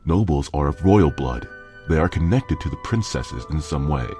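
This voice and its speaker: A man's voice: extremely low pitch, lively intonation, moderate pace, mellow, faint music behind. A man playing a stern, proud pony father and guard veteran, lecturing emphatically in a fan-made audio drama.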